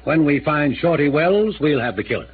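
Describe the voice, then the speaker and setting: An actor playing a pompous, defensive small-town mayor in a 1950s radio drama. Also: male voice, fairly high pitch, expressive, normal speed, slightly rough, heavily acted. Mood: insistent. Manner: pompous, insistent. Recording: tinny old radio transcription.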